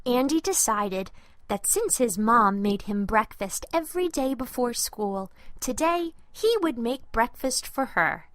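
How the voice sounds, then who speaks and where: A woman's voice: a little high, animated, a little slow, no room sound. A woman reading a children's storybook as a scripted narration, expressively and with careful phrasing for young listeners.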